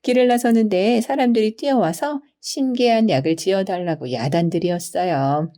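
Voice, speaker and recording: A woman narrating scripted bedtime folktales for children in an expressive storyteller's manner. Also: mid-range voice, normal speed. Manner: storyteller's expressive, polite explaining delivery.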